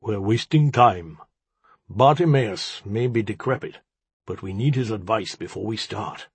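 An elegant lady rat-spirit, acted by a man, sweet and persuasive as she coaxes the others. The storybook voice is breathy and very husky, with a dry, close sound. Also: on the low side, a little slow, no room sound, exaggerated voice. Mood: sweetly coaxing. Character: elegant female rat-spirit, sweetly sympathetic, coolly practical.

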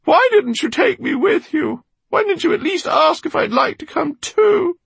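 A man voicing a sharp-tempered, bookish woman in an audiobook, exclamatory and emotional. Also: extremely high pitch, wildly swooping pitch, extremely slow, vocal fry, no room sound, pitched above the performer's own voice, exaggerated voice. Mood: plaintive.

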